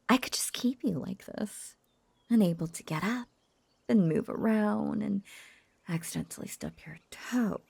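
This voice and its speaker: A woman playing a lovesick, obsessive witch in an intimate roleplay audio drama, cooing in a breathy, husky half-whisper with lingering pauses. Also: on the low side, mellow, dry recording, full character voice. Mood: menacingly tender. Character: lovesick obsessive young witch, possessive, teasing and doting.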